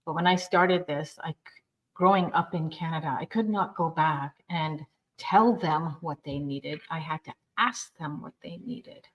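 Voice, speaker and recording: A woman from Uganda speaking on a nonprofit panel, telling a story in an earnest, lively way. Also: low voice, measured pace. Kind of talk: panel discussion. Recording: dull lo-fi sound.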